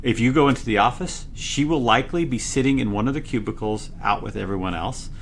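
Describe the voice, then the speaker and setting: A man, a product engineer, explaining products in a conversational interview, excitable and loud when he gets going, with many restarts. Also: mid-range voice, fast, slight background noise. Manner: frequent fillers and restarts.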